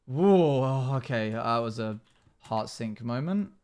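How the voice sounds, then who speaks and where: A man's voice: mid-range voice, lively intonation, slow, darkish tone, smooth. A man in his twenties giving casual, chatty commentary on a game stream, reading out chat messages and replying. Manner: chatty, reads chat messages aloud and answers. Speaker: live streamer. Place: small home room, indoors.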